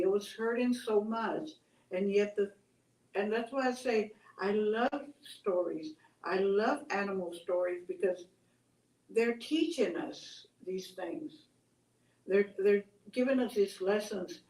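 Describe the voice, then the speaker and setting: An older woman telling stories and reflecting on them in a gentle, halting, conversational way. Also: medium pitch. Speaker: storyteller, host. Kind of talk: oral storytelling and reflective chat. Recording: echoey room, distant mic.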